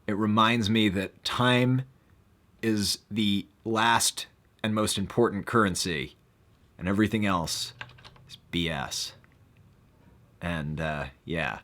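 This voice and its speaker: Young man, low voice, rambling live stream chat, filler-heavy, excitable. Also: takes it slowly, pauses often.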